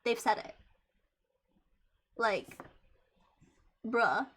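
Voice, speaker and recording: A woman in her twenties with a high-pitched voice, chatting casually on a livestream and swearing freely, rambling with many restarts. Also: expressive, extremely slow, a bit bright, slightly rough. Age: 20s. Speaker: VTuber streamer.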